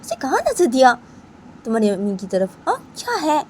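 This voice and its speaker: A woman's voice: fairly high pitch, huge pitch swings, fairly quick, darkish tone, slight background noise, pitched above the performer's own voice. A woman doing a questioning older stepmother. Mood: puzzled. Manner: repeated puzzled questioning.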